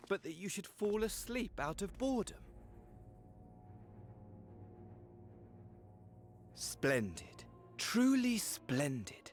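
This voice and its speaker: An actor playing a flamboyant, gloating villain in a video game, with florid, courtly mockery. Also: male voice, a little high. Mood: mocking flattery. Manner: florid, grandiose, drawn-out phrasing. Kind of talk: video game cutscene acting.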